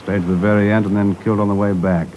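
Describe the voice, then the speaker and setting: A commanding British tugboat skipper, played by a man, speaks in a lowish, clipped voice with compassion under the authority. It is 1950s radio drama, dull in tone with faint noise. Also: flat delivery, fast talker, lightly acted. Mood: sombre.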